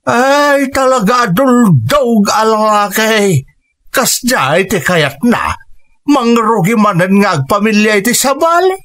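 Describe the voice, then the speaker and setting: A concerned older father from a radio drama, talking in a fairly high voice and clucking disapprovingly over unfaithful men. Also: expressive, slow pace, a bit bright, heavily acted.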